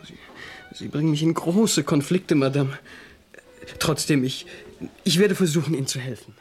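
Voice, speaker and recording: An actor playing a nervous, timid trainee inspector who hesitates and stumbles anxiously in a radio crime drama. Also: male voice, on the high side, moderate pace, darkish tone, husky. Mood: reluctant, uneasy. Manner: hesitant, anxious, stammering restarts.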